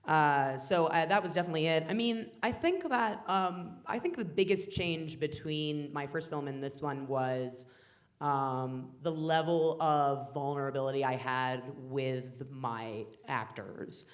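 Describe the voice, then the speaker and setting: Low-voiced woman director, rambling. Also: a little slow. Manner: rambling, many fillers and restarts, animated quoting. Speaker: film director. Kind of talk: casual panel chat. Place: festival cafe stage.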